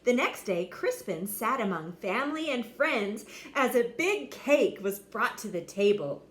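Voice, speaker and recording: High, animated woman reading a storybook. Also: measured pace. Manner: dramatic, theatrical inflection. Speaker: storybook reader. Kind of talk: expressive scripted story narration for children.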